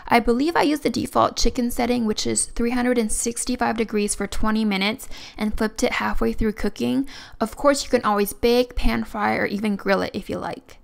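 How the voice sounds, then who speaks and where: A woman's voice: fairly high pitch, steady tone, moderate pace, gravelly. A young woman home-making vlogger giving a casual voice-over narration. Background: background music.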